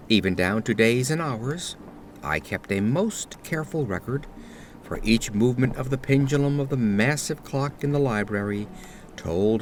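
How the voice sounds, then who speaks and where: Expressive man narrating solemn gothic horror. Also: mid-range voice, slight background noise. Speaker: audio drama narrator. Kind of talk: dramatic scripted narration of gothic horror story. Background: atmospheric ambience.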